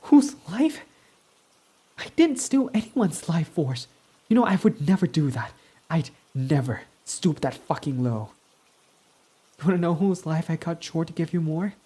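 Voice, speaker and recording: A man's voice: on the high side, big pitch swings, measured pace, dark tone, slightly rough, heavily acted. A man voicing a proud, defensive young male villain in an ASMR roleplay who swears when flustered, over faint rain. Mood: heated, defensive. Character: proud tsundere young male villain, gruff but smitten.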